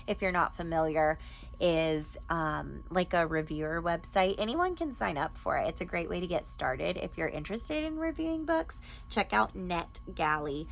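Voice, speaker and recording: A woman's voice: on the low side, creaky, a bit bright, a little hiss or hum. A woman talking casually and enthusiastically, like a vlogger sharing recommendations with her viewers. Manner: enthusiastic, informal. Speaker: book reviewer and podcast host. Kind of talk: casual chatty book recommendation vlog.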